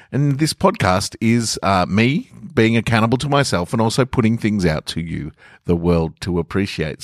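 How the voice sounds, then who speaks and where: A man's voice: deep voice, dry recording, studio quality. A man hosting a story podcast, talking to listeners and reading scripted material. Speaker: podcast host and story writer.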